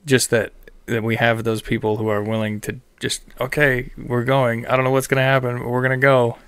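A man's voice: mid-range voice, little pitch movement, brisk, a bit bright, clear, resonant tone, dry recording. A man hosting a podcast interview, chatty and enthusiastic, with rambling questions. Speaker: podcast host. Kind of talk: casual interview.

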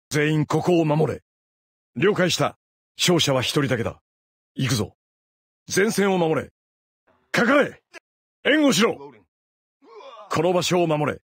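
A man voicing a terse soldier in a combat video game, giving short, clipped, commanding lines with a raspy voice. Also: a little high, no echo, studio quality, exaggerated voice. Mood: firm, composed. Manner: clipped, commanding military delivery. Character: male soldier operator, terse, commanding, battle-focused.

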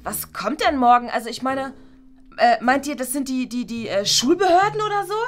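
A woman's voice: high-pitched, lively intonation, brisk, soft background music. A woman voicing a scatterbrained, flustered female teacher who stumbles over her words in a toy play series. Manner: hesitant, full of "äh" and "ne?". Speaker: teacher figure Frau Bartsch.

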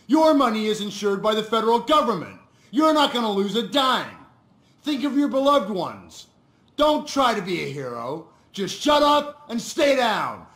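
An actor playing a forceful, commanding male bank robbery leader in a video game, declaiming his orders. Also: very high voice, heavily acted. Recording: echoing room sound.

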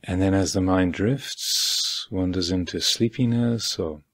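A man with a husky voice guiding a meditation as a teacher, speaking calmly and deliberately with long pauses. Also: low-pitched. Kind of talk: guided meditation instruction. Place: meditation hall at a retreat.

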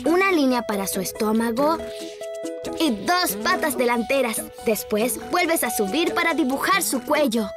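A woman's voice: high voice, animated, unhurried. A woman voicing a kind little rabbit boy in a cartoon dub, patiently explaining how to draw. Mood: gentle. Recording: cartoon music underneath.

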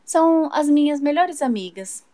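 Woman, high voice, sweet and chatty, dry close recording, doll play. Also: animated, moderate pace, dark tone, no room sound. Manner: chatty, sweet, talks to viewers. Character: chatty young woman doll, fashion-loving. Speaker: toy channel narrator voicing a doll.

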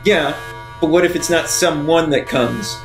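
A man's voice: a little high, measured pace, reverberant. A man playing a tabletop role-playing game in casual talk, prompting and urging the others along. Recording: clear music bed behind voice.

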